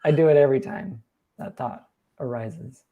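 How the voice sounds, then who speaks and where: A man's voice: medium pitch, normal speed, narrow, tinny audio. A man hosting a live question-and-answer chat who is losing his voice, talking in an earnest, coaching way. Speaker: host reading viewer questions.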